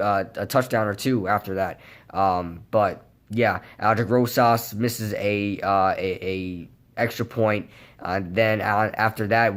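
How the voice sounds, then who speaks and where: A hoarse young man giving a casual, rambling post-game recap as a sports fan vlogger, with lots of fillers. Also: medium pitch. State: hoarse from yelling.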